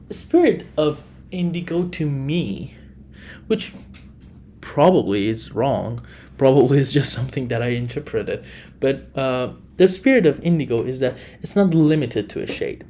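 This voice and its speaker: A man from Iran, a native Farsi speaker with an Iranian accent, hosting a solo podcast in an unhurried way, often restarting and correcting himself. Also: medium pitch, mellow, slight background noise. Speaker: podcast host.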